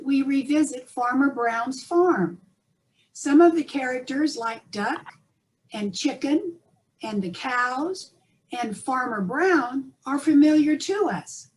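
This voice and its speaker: A woman's voice: sits high, low-quality recording. A woman host giving a welcome speech to introduce a performance. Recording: noticeable background noise.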